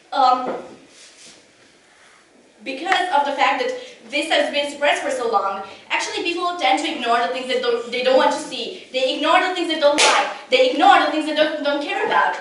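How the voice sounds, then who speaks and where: A woman's voice: high voice, moderate pace, a bit bright. A young woman whose first language is not English, giving an earnest competitive debate speech with frequent restarts. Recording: echoey hall from far off.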